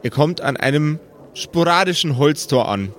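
A man narrating an improvised tabletop game as game master, deliberately and atmospherically. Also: fairly high pitch, lively intonation.

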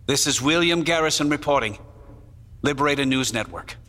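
Fiery abolitionist orator, bright, reverberant. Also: male voice, fairly high pitch, brisk. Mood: grave, vindicated. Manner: solemn, declamatory, formal. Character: grave, fiery 19th-century abolitionist newspaper publisher delivering a report. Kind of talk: dramatized oratorical news report. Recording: long hall-like reverb.

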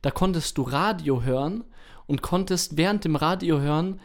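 A man hosting a podcast in casual chat, informal and often halting to correct himself mid-sentence. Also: fairly high pitch. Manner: informal, halting, self-correcting with repeated false starts. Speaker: podcast host.

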